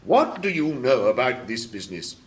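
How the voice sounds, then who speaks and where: A woman's voice: extremely low pitch, very animated, moderate pace. Woman playing a pompous, swooping, blustering storybook King. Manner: pompous, flustered, swinging from barking orders to muttering. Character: blustering, muddled, self-important old King presiding as judge. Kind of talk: dramatised storybook reading.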